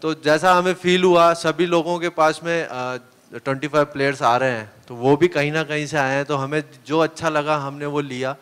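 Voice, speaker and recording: Earnest man with a smooth voice. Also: a little high, little pitch movement, normal speed, a bit bright. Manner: earnest, hesitant with many fillers. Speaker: team captain. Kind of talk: press conference answers. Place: press hall. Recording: long reverb of a hall.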